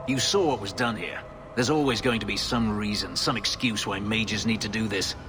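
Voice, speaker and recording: An actor playing a brooding, bitter elf and escaped slave in a video game, terse and intense. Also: male voice, lowish voice, moderate pace, lightly acted. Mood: angry, bitter. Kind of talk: dramatic video game acting. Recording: reverberant game audio with music.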